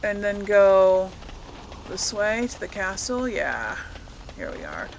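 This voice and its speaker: Female gaming host: unhurried, casual, musing commentary, medium pitch, clear background noise. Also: huge pitch swings, rough recording. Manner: musing, thinking aloud.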